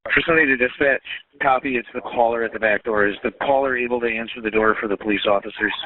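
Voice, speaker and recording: A man's voice: mid-range voice, fast, dry recording. A man relaying police information over a narrowband two-way radio.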